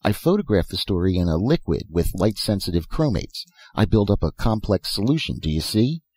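A man's voice: lowish voice, sing-song, a little fast, a little mellow, husky, dry recording, exaggerated voice. A man voicing an eccentric, philosophizing old professor who talks in short, insistent phrases and rhetorical questions in a storybook reading. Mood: eager. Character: elfin bearded old male professor, eccentric, philosophical inventor.

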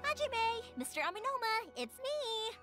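A woman voicing a bubbly, energetic young fireworks maker in a video game, chirpy, eager and informal. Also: very high voice, unhurried, exaggerated voice. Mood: cheerful, coaxing. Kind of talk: video game character dialogue. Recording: game music behind the voice.

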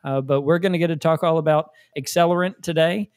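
Upbeat higher-voiced podcast cohost. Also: male voice, steady tone, fairly quick, no echo, clean studio sound. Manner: upbeat, enthusiastic. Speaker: podcast co-host. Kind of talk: casual podcast banter.

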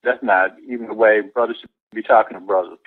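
A man's voice: lowish voice, fairly even intonation, quick pace, narrow, tinny audio. A man, a church deacon, arguing on the phone in a defensive, firm, repetitive way. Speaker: church deacon, prank call victim. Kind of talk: indignant phone argument.

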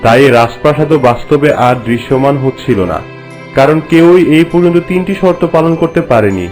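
A reverent royal courtier from a cartoon fairy tale, played by a man, speaks in a slightly high male voice. He explains with formal, respectful storytelling delivery. Music plays softly underneath. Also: brisk, close to an everyday voice. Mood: respectful, solemn. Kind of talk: scripted cartoon dialogue in formal narration.

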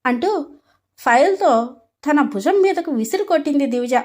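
High-voiced woman narrating a story. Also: animated, normal speed, darkish tone.

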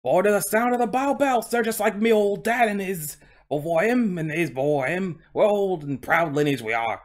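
High-pitched proud Cockney talking horse. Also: male voice, expressive, normal speed. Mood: proud, boastful. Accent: put-on Cockney. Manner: colloquial, deferential, boastful working-class patter. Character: proud, chatty, aggrieved male talking coach horse from London.

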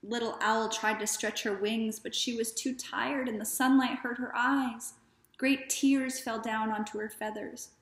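A woman in her thirties reading a children's story aloud in a deliberate, expressive way. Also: on the high side, a little hiss or hum. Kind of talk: children's read-aloud narration. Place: small living room, indoors. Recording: echoey living room.

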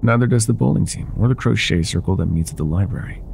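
An older ex-mafia boyfriend played by a man in an intimate ASMR roleplay. His low voice is mellow and heavily fried, with a soft, tender, vulnerable delivery. Faint ambient noise sits behind him. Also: fast, vocal fry. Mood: vulnerable, wistful. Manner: soft, intimate, affectionate, hesitant at times.

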